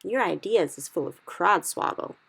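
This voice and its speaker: A woman voicing a big, friendly male giant in a storybook reading, who speaks slowly and mangles his grammar. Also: on the low side, expressive, gravelly, breathy edge, heavily acted. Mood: stubborn. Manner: slow, odd jumbled grammar.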